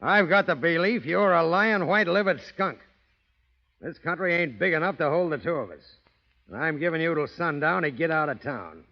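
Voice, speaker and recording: Sneering american cowboy bad guy, highish voice, taunting, dull old radio western sound. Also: lively intonation, moderate pace, gravelly. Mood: menacing. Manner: taunting, belligerent. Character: swaggering, sneering western villain spoiling for a fight. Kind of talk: 1940s radio western acting. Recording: dull vintage radio recording.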